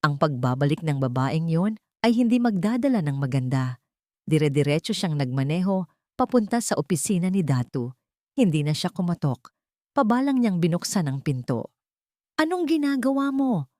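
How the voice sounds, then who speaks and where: A woman's voice: deep voice, big pitch swings, normal speed, darkish tone, dry recording, clean studio sound. A woman giving scripted, dramatic narration of a story.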